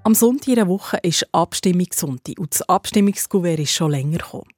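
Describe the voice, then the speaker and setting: A woman's voice: on the low side, expressive, fairly quick, over music. A woman with a Swiss German accent presenting regional radio news in a scripted presenter's style.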